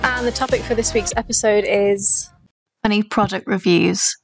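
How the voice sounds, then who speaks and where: A young woman co-hosting a casual chat podcast, soft-spoken and gentle. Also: medium pitch, normal speed, gravelly, faint music behind, low-quality recording. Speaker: podcast co-host.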